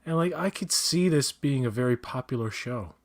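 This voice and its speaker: A man's voice: medium pitch, expressive, normal speed. A man chatting casually during a movie watch-along, blunt and opinionated, swearing freely. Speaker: guest co-watcher.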